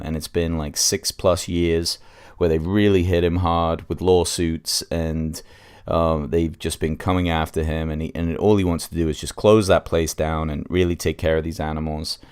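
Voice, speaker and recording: A man's voice: low voice, flat delivery, normal speed, a little mellow, clean tone. A man hosting a casual solo podcast review, congested with a cold and speaking in an informal, hesitant way.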